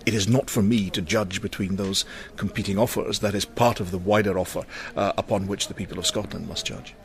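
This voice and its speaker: Fast-talking, formal, lowish-voiced man. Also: fairly even intonation. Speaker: broadcasting executive being interviewed. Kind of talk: formal interview answers.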